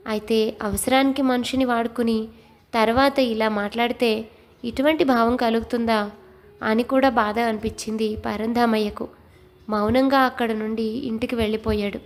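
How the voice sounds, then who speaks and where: A woman's voice: a little high, a little mellow. A woman reading scripted moral-story narration as the storyteller. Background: faint music.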